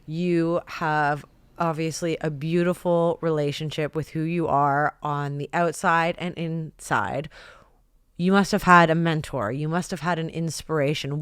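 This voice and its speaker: A woman's voice: deep voice, smooth, dry recording, clean studio sound. A woman hosting an interview, offering short encouraging replies and questions. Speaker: interview host. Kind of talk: casual interview chat.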